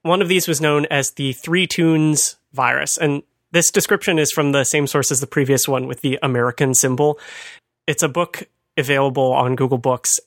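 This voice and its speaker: A man's voice: on the high side, fairly bright tone, no room sound, clean studio sound. A man hosting a podcast, explaining things in a casual, chatty way and laughing at his own asides. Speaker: podcast host.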